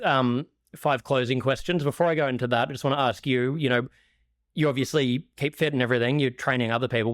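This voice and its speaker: A man's voice: medium pitch, no echo. Aussie guy hosting a podcast, laid back, mid voice, dry close sound. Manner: relaxed, agreeable, many fillers. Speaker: podcast host. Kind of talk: casual interview chat.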